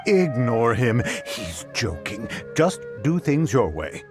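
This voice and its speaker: A loyal, sardonic townsman in a video game, a man whose medium-pitched voice swings in lively fashion. Music plays clearly behind him. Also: slow. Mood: firm, supportive.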